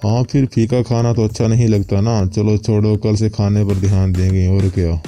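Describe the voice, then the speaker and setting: An easygoing old father-in-law who loves fried snacks, played by a man with a low, very dark and mellow voice. He grumbles in a relaxed way, with faint music underneath. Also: normal speed, close to an everyday voice. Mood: grumbling. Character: elderly father-in-law, easygoing and food-loving. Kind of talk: cartoon voice acting.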